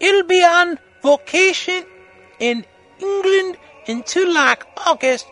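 Man putting on a shrill, bratty teenage girl. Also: animated, fairly bright tone, soft background music, heavily acted. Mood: gushing. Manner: shrill, drawn-out, bratty delivery. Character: whiny, foul-mouthed teenage girl author, petulant and gushing.

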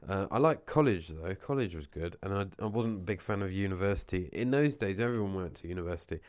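A man talks to the camera in a very low voice, with a cold wearing it down. The sound is dry and close. He rambles loosely and keeps losing his thread. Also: expressive, fast, a little mellow, no echo. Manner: meandering, with many restarts and asides. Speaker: vlogger. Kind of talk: casual rambling chat to camera.

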